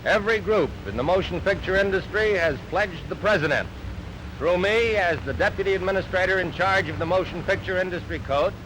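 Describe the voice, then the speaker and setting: American male spokesman, high oratorical voice, noisy vintage newsreel recording. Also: brisk. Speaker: government spokesman. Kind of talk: formal public statement.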